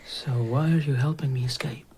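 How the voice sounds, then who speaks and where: An actor playing a bewildered, anxious young male captive, questioning in a breathy, hushed voice in an audio drama. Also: medium pitch, steady tone, moderate pace. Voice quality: creaky, breathy whisper.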